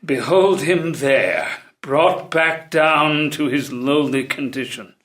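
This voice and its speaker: A man playing a stern, dutiful old royal tutor and jailer in a play reading, formal, measured and theatrical. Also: on the high side, fairly bright tone. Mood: deferential. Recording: roomy, echoey live room.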